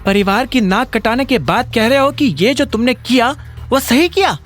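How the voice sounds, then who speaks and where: An angry middle-aged mother-in-law from an audio drama , acted by a man. The voice is pushed high and swings sharply through sarcastic, scolding questions. Faint music plays behind. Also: lively intonation, moderate pace, a bit bright. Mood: furious scolding. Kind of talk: Hindi audio drama acting.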